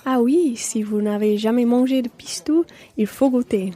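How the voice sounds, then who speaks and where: A woman's voice: fairly high pitch, moderate pace, dark tone, natural voice. A woman playing a friendly, sociable French mother in a scripted language-learning radio play. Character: friendly French mother of three, part-time English teacher.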